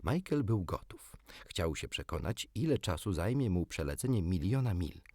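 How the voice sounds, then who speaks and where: A man's voice: sits low, sing-song, moderate pace, dark tone, dry recording, clean studio sound. A man narrating a children's storybook expressively, as an audiobook reader.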